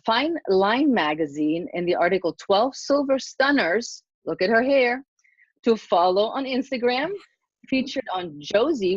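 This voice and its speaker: A woman's voice: mid-range voice, no echo. Enthusiastic middle-aged woman hosting a podcast. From Germany. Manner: excited, effusive, gushing. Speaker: podcast host. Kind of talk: enthusiastic interview introduction. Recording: dull dry lo-fi sound.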